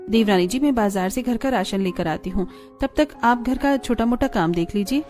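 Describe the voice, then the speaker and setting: A woman acting a thrifty, firm cartoon housewife and dutiful daughter-in-law. Her voice is fairly high and soft-edged, with light music behind it. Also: normal speed, natural voice. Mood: polite, practical. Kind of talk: cartoon family drama acting.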